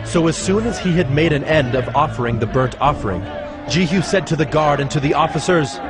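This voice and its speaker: A man reads scripture as scripted narration in a measured, solemn storytelling manner. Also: a little high, expressive. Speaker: narrator of a dramatized audio Bible. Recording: music bed behind the voice. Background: background music.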